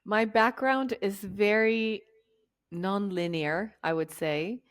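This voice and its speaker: A woman's voice: medium pitch, takes it slowly. A woman in her forties from Argentina, a chatty workshop facilitator telling her life story in an interview. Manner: chatty, jokey and laughing easily. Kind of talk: conversational interview.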